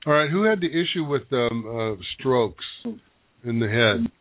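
A man working as a psychic medium who gives halting, searching readings to callers on a live call-in radio show, with many restarts. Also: mid-range voice, expressive, no room sound. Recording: dry, narrow phone-like broadcast sound.

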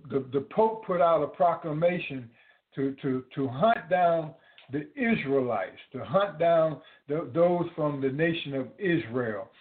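A man preaching to his listeners, halting often with repeated words and fillers. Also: a little high. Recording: tinny phone-like audio.

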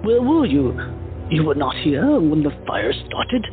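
A performer playing Daphne, a suspicious young woman who questions the doctor about her missing sister in a slow, accusing way. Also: mid-range voice, big pitch swings. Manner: accusing, probing. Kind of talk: amateur audio drama acting. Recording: tinny phone-like sound, faint music.

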